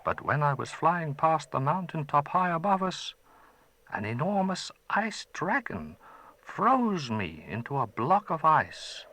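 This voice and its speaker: A man doing a storybook's old magician, kindly but grave, his voice pitched well above the reader's own and swinging widely. He talks with a bright, forceful edge and issues solemn warnings. The delivery is slow and weighty. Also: sing-song, heavily acted.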